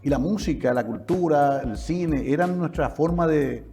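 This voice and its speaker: A man's voice: a little high, unhurried, warm tone, soft background music. A man in his sixties with a Paraguayan Spanish accent, a talk show host who keeps the chat conversational and chimes in with short agreements. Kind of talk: casual interview chat.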